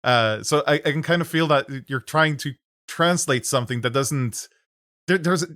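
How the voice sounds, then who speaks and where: A man with a Norwegian accent, a native Norwegian speaker, hosting a casual interview, with halting restarts. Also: a little high, fairly bright tone, no echo, studio quality. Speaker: podcast host.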